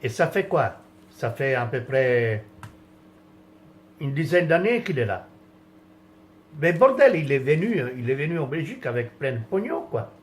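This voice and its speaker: Indignant rambling man, slightly high voice. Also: big pitch swings, unhurried, long pauses, slight background noise. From France. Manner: indignant, rambling, many restarts and trailing phrases. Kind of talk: rambling political rant.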